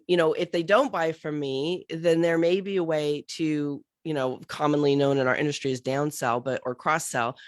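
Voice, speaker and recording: A middle-aged woman with a cold, hoarse and a bit scratchy, chatting animatedly as a podcast guest with many fillers. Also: deep voice, no room sound, studio quality. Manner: animated, thinks aloud with many fillers and restarts. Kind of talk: casual interview chat.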